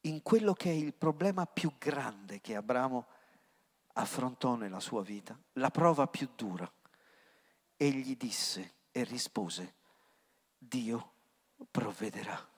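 A middle-aged man, preaching a sermon into a handheld mic, swinging from loud outbursts to hushed words. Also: medium pitch, expressive, takes it slowly, pauses often, breathy edge. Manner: impassioned preaching with sudden rises and hushed drops. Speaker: pastor. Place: church hall. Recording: long church reverb.

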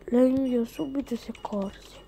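A woman with a fairly high voice gives casual game commentary. She is chatty, slipping between her own remarks and reading the game's text out loud. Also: steady tone, moderate pace, gravelly, a little hiss or hum. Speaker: gaming video host.

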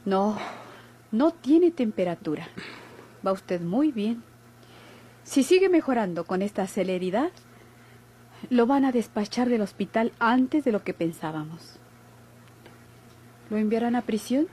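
A Mexican actress playing an inquisitive, gossipy hospital nurse in a classic radio soap, speaking formally and probingly. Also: female voice, on the high side, expressive, a little slow, pauses often, warm tone, slightly rough. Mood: probing, professional. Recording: old noisy radio drama recording.

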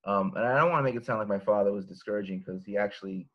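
A man's voice: fairly low pitch, racing, lo-fi sound. A man in his thirties from Los Angeles, a guest author talking casually over a video call from his living room, rambling with many fillers and restarts. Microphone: laptop webcam video call.

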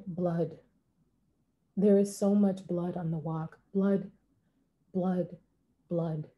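A middle-aged woman reading poetry in a measured, deliberate way, like a clinical report, on a video call at home. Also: fairly low pitch, mellow. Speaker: poet. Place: room at home. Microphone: computer mic on a video call.

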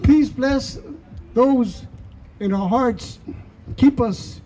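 A man leading a solemn outdoor prayer in a slow, measured way, speaking into a handheld mic. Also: very high-pitched, mellow, a little hiss or hum, rough recording. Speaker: prayer leader. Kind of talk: spoken prayer. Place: outdoors in a park.

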